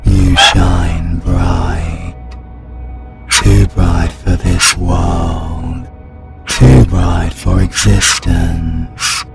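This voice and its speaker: A British man voicing the cold, menacing dark side of a possessed demon hunter in a fantasy audio drama. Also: animated, exaggerated voice. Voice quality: gravelly, very deep. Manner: slow, cold, ominous pronouncements. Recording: music underneath.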